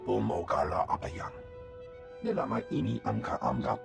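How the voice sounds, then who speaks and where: A gruff alien officer growls in a low, heavily gravelled voice. The invented guttural speech comes in choppy barks, video game style, with loud music behind it. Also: male voice, animated, normal speed. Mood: gruff, urgent.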